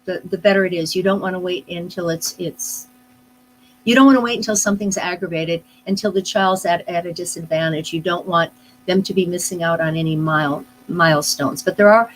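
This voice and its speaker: A middle-aged woman, a speech pathologist, answering interview questions in a thoughtful, unhurried way, with many pauses and restarts. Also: on the low side, fairly even intonation, a little hiss or hum, rough recording. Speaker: speech-language pathologist guest. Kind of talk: live online interview, explaining casually.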